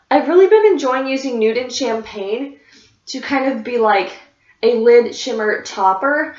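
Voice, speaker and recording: A woman talking casually about her favorite beauty products as a vlogger, a little congested and sniffly, rambling with fillers and restarts. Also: on the high side. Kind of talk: casual product review chat. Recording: echoey room, dull lo-fi sound.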